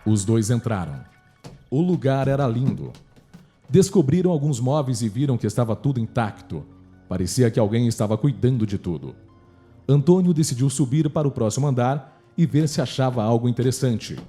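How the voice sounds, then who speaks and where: A man's voice: mid-range voice, sing-song, moderate pace, warm tone. A man with a Brazilian accent narrating a scripted horror story for radio drama. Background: faint music.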